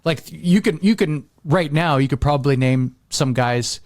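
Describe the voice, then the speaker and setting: A man's voice: fairly high pitch, normal speed, a little mellow, dry recording, studio quality. A man talking casually and agreeably as a podcast speaker. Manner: agreeable, informal. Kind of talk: casual chat.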